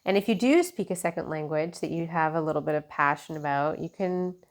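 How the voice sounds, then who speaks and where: A woman's voice: low-pitched, a little fast. Rambling woman reading tarot cards. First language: English. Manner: meandering, many fillers and restarts. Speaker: tarot reader. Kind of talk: casual spiritual tarot reading.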